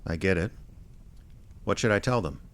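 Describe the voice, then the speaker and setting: An actor playing a wry, persistent small-time American private investigator in an audio drama, plainspoken and reasoning. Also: male voice, low voice, moderate pace, close to an everyday voice. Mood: calm, probing. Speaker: lead radio drama actor. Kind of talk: audio drama dialogue and first-person detective narration.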